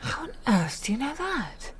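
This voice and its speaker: An actress playing a polite, curious young vicar's wife, gentle and reserved, in a period radio drama. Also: female voice, a little high, huge pitch swings, moderate pace, a little hiss or hum.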